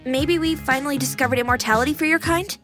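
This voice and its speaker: A woman's voice: sits high, fast talker, natural voice. A woman voicing a playful, caring female AI companion with a girlish anime persona in a video game, chirpy, teasing and polite. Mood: helpful, teasing. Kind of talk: scripted video game voice acting. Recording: music behind the voice.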